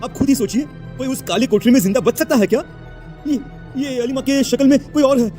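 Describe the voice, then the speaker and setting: A man's voice: extremely high pitch, brisk, dark tone, faint music behind. A man voicing a greedy, scheming villager in a horror cartoon, agitated and cowardly. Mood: shocked, anxious. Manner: agitated, stammering with fear. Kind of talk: Hindi horror cartoon voice acting.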